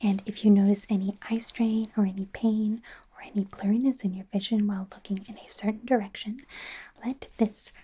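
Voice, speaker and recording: A woman playing a friendly, self-correcting werewolf doctor in an ASMR roleplay, speaking in a soft, gentle, hesitant whisper. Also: mid-range voice, slight background noise, lightly acted.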